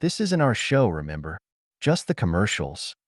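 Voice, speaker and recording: A man's voice: on the low side, big pitch swings, normal speed, no echo. A man voicing a cool, unflappable young man in an audiobook, nonchalant and dry-witted. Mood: wry, unbothered.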